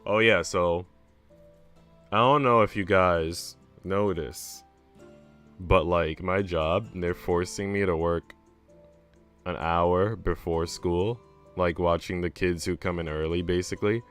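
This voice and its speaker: A man's voice: sits low, fairly even intonation, slow pace, a bit bright, clear, resonant tone. A man streaming, chatting in a slangy, rambling way between bits of a visual novel he is reading. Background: game music.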